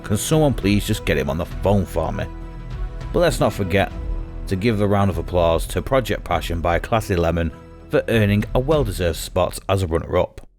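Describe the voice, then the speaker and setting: A man's voice: sits low, lively intonation, moderate pace, darkish tone, faint music behind. Stammering male awards host. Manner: stammering, flustered comic delivery. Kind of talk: comic awards presenting with a staged phone call.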